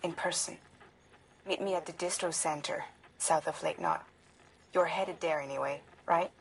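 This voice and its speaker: A cool, self-possessed woman in a game cutscene. Her voice sits low, over faint background noise. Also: moderate pace, low-quality recording. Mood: cool, inviting.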